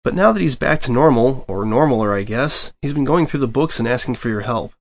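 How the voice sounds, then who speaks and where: A man's voice: medium pitch, lively intonation, a little fast. A man voicing an earnest, eager young boy dragon assistant for a cartoon fan story. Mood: hesitant.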